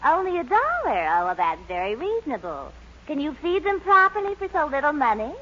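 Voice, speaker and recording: A woman's voice: high-pitched, very animated, fairly quick, sharp tone, heavily acted. A woman acting in a 1940s radio sitcom as an incredulous, no-nonsense mother, exclaiming expressively on an old recording. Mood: surprised. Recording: dull vintage radio recording, faint hiss.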